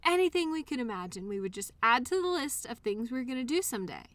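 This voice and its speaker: An innocent imaginary friend played by a woman talks straight to the listener in an intimate audio drama. The voice is high and bright, recorded close and dry. The delivery is earnest and full of childlike wonder, with a wistful, hesitant edge. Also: very animated, quick pace, no echo. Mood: gentle, questioning. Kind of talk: intimate scripted audio roleplay monologue addressed to listener.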